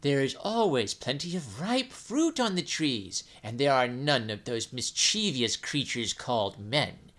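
A man voicing a jellyfish servant who coaxes in a slow, persuasive way. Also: mid-range voice, sing-song, a bit bright. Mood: coaxing. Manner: coaxing persuasive. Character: smooth-talking male jellyfish servant.

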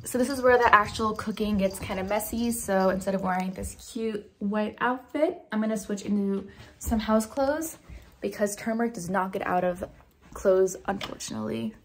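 Young woman, casual vlog chatter, lots of fillers, medium pitch, faint background noise. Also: normal speed. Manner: chatty, filler-heavy, talks to the viewer. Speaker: vlogger.

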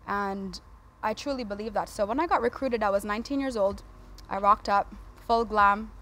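A woman's voice: mid-range voice, normal speed, fairly bright tone. A woman in her twenties chatting casually and playfully as a guest on a TV talk show, poking fun at herself. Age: 20s. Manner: playful, self-deprecating. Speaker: guest, air traffic controller. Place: TV studio with sofas.

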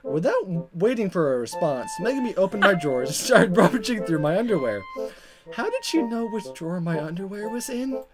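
A man's voice: sits high, brisk. A man reading a visual novel aloud in a playful, dramatic style, with joking asides and stumbles. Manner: animated, chuckling, stumbling over the text. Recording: music playing behind. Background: game music.

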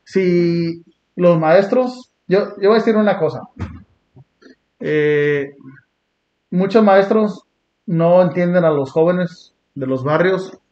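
A man from Long Beach, California, hosting a podcast and talking in an earnest, reflective way with blunt street slang. He sits on a small dark indoor studio set. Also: sits high, slow pace, long pauses. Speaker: podcast host. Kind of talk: casual reaction chat. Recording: muffled lo-fi sound.

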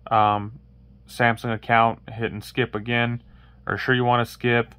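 A man's voice: mid-range voice, flat delivery, a little slow, bright, forceful tone, clear, resonant tone, no echo. A man hosting a casual phone tutorial, rambling through steps with many fillers and restarts while showing a phone on a table. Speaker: tech tutorial host. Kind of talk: casual how-to walkthrough. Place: indoors at a wooden table, voice-over of hands-only phone demo.

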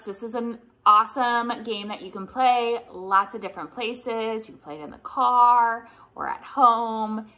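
An American woman in her thirties leading a children's storytime, with an exaggerated, encouraging way of talking to young kids. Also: medium pitch. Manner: animated, encouraging, exaggerated child-directed delivery with questions. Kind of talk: preschool lesson and picture-book read-aloud.

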